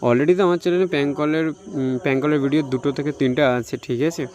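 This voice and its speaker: A man's voice: on the high side, racing, darkish tone. A man from India with an Indian Bengali accent gives an informal phone tutorial, repeating himself and often tagging phrases with a checking question. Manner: repetitive, frequently tags phrases with a checking question. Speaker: tech tutorial YouTuber.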